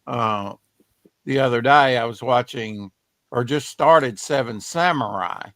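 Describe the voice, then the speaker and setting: Rambling slightly raspy male host. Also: mid-range voice, slow, fairly bright tone, dry recording. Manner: rambling, filler-heavy, trails off. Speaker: podcast host. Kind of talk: casual chat.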